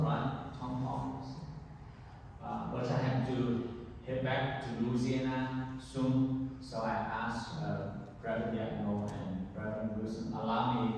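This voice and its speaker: A man in his fifties with a Vietnamese accent, a visiting Buddhist monk giving a gentle, pausing dharma talk to high school students. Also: a little hiss or hum. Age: 50s. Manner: slow, gentle, pausing with many "uh"s. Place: large temple hall. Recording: echoey hall, mic far off.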